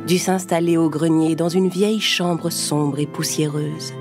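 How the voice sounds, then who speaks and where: A woman with a fairly low voice tells a children's fairy tale. Her narration is scripted, expressive and clearly phrased, with music clearly playing behind her. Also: unhurried. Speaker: storyteller.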